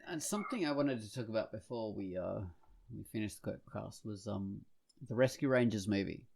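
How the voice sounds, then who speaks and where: A man's voice: on the low side, expressive, fairly quick, creaky, darkish tone. A man co-hosting a podcast in casual, conversational discussion. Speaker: podcast co-host.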